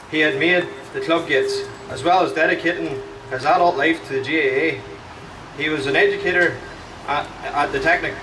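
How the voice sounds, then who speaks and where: A young man reads out a prepared statement in a measured, grave tone, his voice sitting slightly high. He is captured from a distance, with clear background noise from the surroundings. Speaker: victim's relative speaking.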